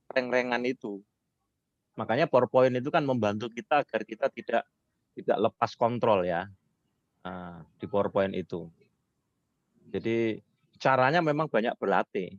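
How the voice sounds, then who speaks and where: A hoarse man, lecturing on public speaking and answering questions, lifting his voice high when he stresses points. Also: normal speed, pauses often, no echo. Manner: rising pitch when stressing points, mixes Javanese words. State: hoarse from illness. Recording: dull lo-fi sound.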